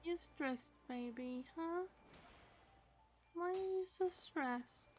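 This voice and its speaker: Female game streamer, high register, unhurried delivery, casual chat with murmured asides.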